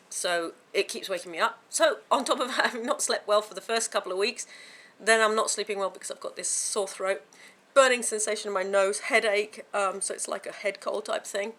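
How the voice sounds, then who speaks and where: A woman vlogging to camera from home, with a croaky voice from a sore throat, chatting in a rambling way with many fillers and restarts. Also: medium pitch, normal speed, fairly bright tone. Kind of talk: casual chat to camera.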